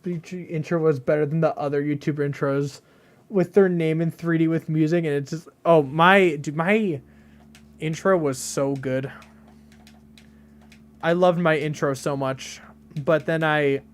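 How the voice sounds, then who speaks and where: A young man in his late teens streaming games and chatting casually, with a deadpan delivery and lots of rambling fillers. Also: fairly high pitch, a little slow. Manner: deadpan, flat delivery even when joking; rambling, many fillers and restarts. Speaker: game streamer. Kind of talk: casual live-stream chat.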